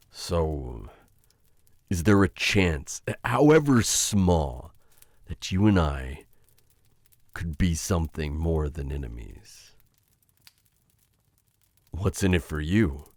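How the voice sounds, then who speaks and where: A deep-voiced witch hunter for hire, played by a man, blunt and scornful, with a loose swearing edge. He speaks slowly with a lively, swinging pitch. The voice is dry and close to the mic. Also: dry recording. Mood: persuasive. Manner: blunt and sarcastic, with swearing and scoffing laughs. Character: gruff mocking male witch hunter, a poor hired mercenary. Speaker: ASMR roleplay performer. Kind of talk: ASMR roleplay acting.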